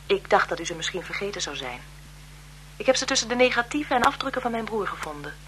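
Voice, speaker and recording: An actress playing a frail-seeming, calculating blackmailer in a radio drama, deferential and insinuating in manner. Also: female voice, medium pitch, sing-song, fast talker, a bit bright. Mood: sly, insinuating. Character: frail-seeming, calculating female blackmailer, photographer's bereaved sister. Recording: 1970s radio recording with noise.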